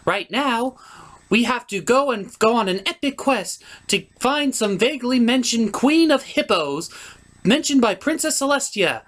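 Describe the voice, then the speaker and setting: Guy doing excitable girl pony puppet, high voice, sassy n dramatic, bouncy pitch. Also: measured pace, exaggerated voice. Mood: earnest. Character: excitable, sassy young female pony heroines. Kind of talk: comic puppet show voice.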